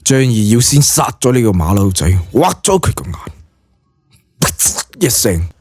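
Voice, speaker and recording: Animated Cantonese male storyteller, slightly husky. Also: mid-range voice, moderate pace, no echo. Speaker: audiobook narrator. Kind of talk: dramatic scripted story narration.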